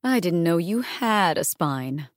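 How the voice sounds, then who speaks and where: A woman's voice: very animated, slow pace, dry recording, studio quality. An actress playing a sultry, sardonic vampire woman who hosts a horror show, with a silky voice and theatrical, haughty delivery in a dramatized audiobook. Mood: dry, sardonic. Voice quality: deep, silky.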